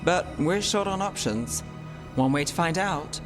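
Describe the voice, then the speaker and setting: An actor playing a cool, dry-witted investigator in a fantasy video game, wry and matter-of-fact. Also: male voice, on the high side, lively intonation, measured pace, over music.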